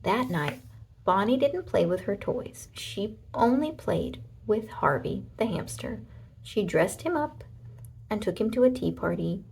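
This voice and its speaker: A woman narrating a children's storybook aloud in an expressive way, with deliberate dramatic emphasis. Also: mid-range voice, vocal fry, slight background noise.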